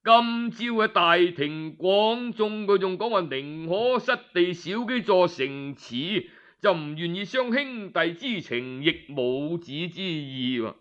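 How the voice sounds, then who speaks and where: A man's voice: high-pitched, expressive, slow pace, a bit bright, highs cut off. A man telling a historical tale in Cantonese, in a theatrical traditional storytelling style that addresses listeners directly. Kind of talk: dramatic traditional oral storytelling.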